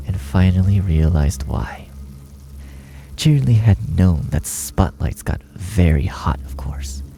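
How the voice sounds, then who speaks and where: A man with a low, very dark voice reads horror fiction as a narrator. His delivery is dramatic and gravelly, often sinking into a breathy hush, with music playing behind him. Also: poor audio. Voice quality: gravelly, often dropping to breathy near-whisper.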